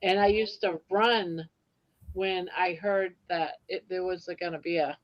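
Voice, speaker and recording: A woman's voice: fairly low pitch, fairly even intonation, measured pace. A woman with an easygoing manner tells small stories while chatting on a video call. Her voice sounds a little dull and muffled. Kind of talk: casual chat.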